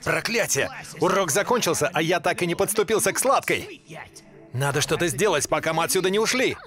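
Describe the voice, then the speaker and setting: A man playing a moody, scheming young devil in an animated series dub. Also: on the high side, brisk, faint music behind. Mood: scheming, insistent.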